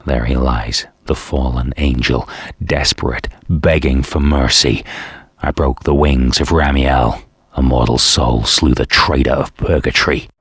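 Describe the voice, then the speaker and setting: A man's voice: extremely low pitch, fairly even intonation, measured pace, slightly rough, no echo, studio quality, full character voice. An actor playing a jaded, cynical antihero who narrates his war in a world-weary, sardonic way for a game. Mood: grim. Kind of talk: hardboiled scripted narration.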